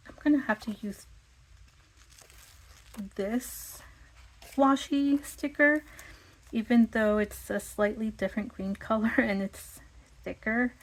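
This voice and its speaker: A woman with a slightly raspy voice, chatting casually and thinking aloud with lots of fillers as she plans her week. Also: medium pitch, poor audio. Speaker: vlogger. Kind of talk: casual planning chat.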